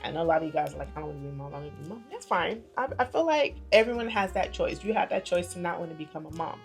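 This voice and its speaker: Woman in her 30s just chatting to her camera, playful and loose, gets loud then goes quiet, a little music faint behind her. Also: fairly low pitch, expressive, very fast, creaky. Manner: chatty, playful, big swings in loudness and pace. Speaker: vlogger. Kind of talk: casual chat to camera.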